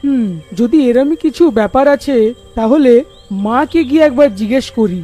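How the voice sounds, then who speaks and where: A naive young cartoon man, acted by a woman, curious and sceptical. The voice is high yet mellow, with an exclamatory delivery. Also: normal speed, faint music behind. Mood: puzzled. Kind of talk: cartoon dubbing.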